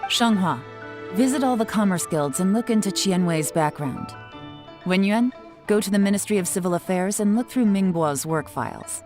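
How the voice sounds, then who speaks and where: A woman's voice: mid-range voice, animated, normal speed, darkish tone, soft background music, natural voice. A woman playing a cool, commanding intelligence chief in a scripted video game, composed and authoritative.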